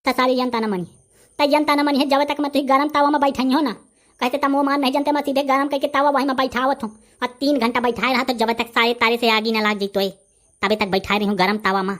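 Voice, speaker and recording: A man's voice: very high voice, steady tone, fast, fairly bright tone. A man with a Bundelkhandi accent voicing a short-tempered, boastful village bully who rants threats in a comic cartoon. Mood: menacing.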